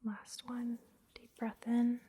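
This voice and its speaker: A woman softly whispering an ASMR guided meditation, giving gentle, soothing instructions with pauses. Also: fairly high pitch, completely flat delivery, slight background noise. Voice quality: soft, breathy whisper. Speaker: massage therapist and meditation guide, host.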